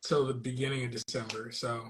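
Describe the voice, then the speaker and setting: A man's voice: mid-range voice, level tone, a little fast, rough recording. A young American man talking casually as a guest in a conversation. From United States. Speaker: guest, traveling parent. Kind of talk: casual chat.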